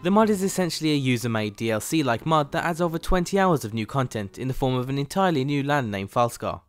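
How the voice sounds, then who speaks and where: A man presents a scripted video game review as the host. His pitch is medium and his delivery is that of a narrator, with faint music underneath. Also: lively intonation, quick pace.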